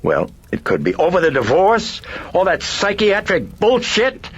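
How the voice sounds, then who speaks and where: A man's voice: high-pitched, wildly swooping pitch, measured pace, breathy edge, dull audio, pitched above the performer's own voice, full character voice. A man voicing a distraught middle-aged mother who shrieks and sobs near hysteria. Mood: hysterical. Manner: shrieking, sobbing, pleading.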